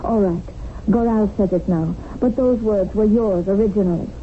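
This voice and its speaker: A woman's voice: medium pitch, normal speed, natural voice. An actress as a fervent young female militant, tinny sound. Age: young adult. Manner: earnest, declamatory, accusing. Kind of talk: 1970s radio drama acting. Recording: lo-fi radio recording, tinny, faint noise.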